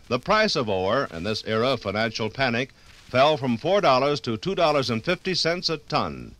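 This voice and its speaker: A man narrating a scripted 1950s radio documentary in a formal, dramatic, measured way. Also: medium pitch, fairly bright tone.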